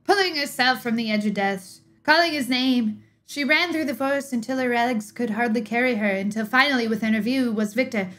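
High-voiced young woman, dramatic narrator. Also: a bit bright. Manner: theatrical, drawn-out emphasis with pauses. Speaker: streamer reading game lore aloud. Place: small indoor room, livestream setup. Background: music.

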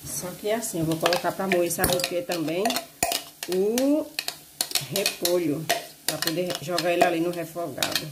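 A woman with a fairly low voice talks chattily to her viewers as she cooks, narrating each step. She films herself on a phone in a small home kitchen, and loud frying and clatter run underneath her voice. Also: moderate pace, heavy background noise. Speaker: vlogger cooking at home. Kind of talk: casual cooking vlog narration. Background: loud sizzling pan and kitchen clatter.

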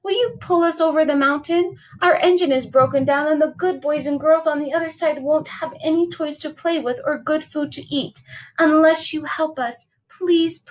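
A woman's voice: moderate pace, lightly acted, low-quality recording. A woman doing pleading little dolls and toys. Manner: pleading, high, urgent.

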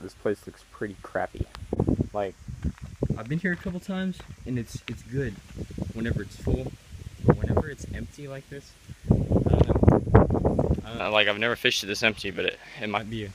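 A man's voice: fairly low pitch, moderate pace, long pauses, heavy background noise. A young man in his teens, recording himself on a phone by a small creek. He narrates a fishing trip in a rambling, easygoing way, often saying he isn't sure. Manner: rambling, laid-back, hedging with "I don't know". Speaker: fishing vlogger. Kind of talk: casual vlog commentary. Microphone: phone held at arm's length.

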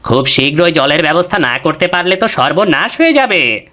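Animated, sharp-voiced village schemer. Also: male voice, a little high, moderate pace. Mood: alarmed, urging. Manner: animated, alarmed. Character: scheming, excitable village man, deferential to his master.

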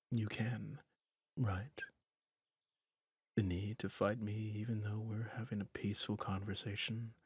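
A man playing a lonely, weary fantasy villain in an intimate ASMR roleplay, breathy and husky, often near a whisper, brooding and tender as he confides. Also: on the low side, no room sound, heavily acted. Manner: slow, brooding, confiding with pauses.

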